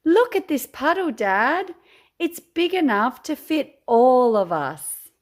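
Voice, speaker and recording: An eager little storybook puppy boy with an Australian accent, voiced by a woman reading aloud. The pitch lifts high and swings about as he exclaims with excitement. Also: slow, full character voice. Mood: eager, excited. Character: eager, excitable young male puppy in a picture book.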